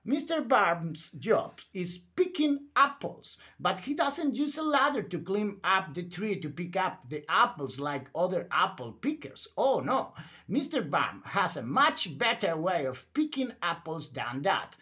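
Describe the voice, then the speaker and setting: Male narrator for children's picture books. Unhurried, very lively pitch, emphatic and theatrical bedtime delivery. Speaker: storyteller reading a children's book aloud. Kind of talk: animated bedtime read-aloud narration.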